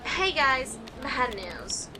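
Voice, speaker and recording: An eager young forensic investigator in a radio mystery, voiced by a woman. The voice is very high and lively in pitch, on a noisy homemade recording. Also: very slow, full character voice. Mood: apologetic. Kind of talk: amateur radio play acting. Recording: noisy amateur home recording.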